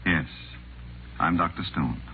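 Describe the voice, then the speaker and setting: A coolly sinister, evasive figure in a vintage radio horror play. His voice is deep and measured. The recording is muffled, with faint noise. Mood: grave, cryptic. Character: grave, cryptic, calm man of sinister authority. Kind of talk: 1940s radio horror acting.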